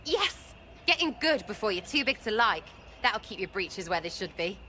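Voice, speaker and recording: A woman's voice: mid-range voice, big pitch swings, moderate pace, bright, forceful tone, a little hiss or hum. A woman voicing a cheeky, irreverent young thief archer in a video game cutscene, brash and crude in her speech. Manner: brash, mocking, slangy and crude.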